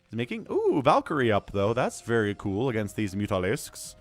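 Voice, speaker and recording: Man, esports commentator, slightly hoarse, stuffy, animated intonation, faint background music. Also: on the low side, normal speed. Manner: excitable, swings between calm analysis and loud exclamations. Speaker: solo game commentator. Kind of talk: live esports play-by-play commentary.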